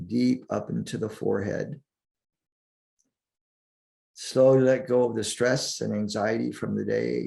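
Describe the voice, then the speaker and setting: A man guiding a sleep meditation in a soothing, deliberate manner, leaving long pauses between short phrases. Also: on the low side, creaky, dark tone. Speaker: meditation guide.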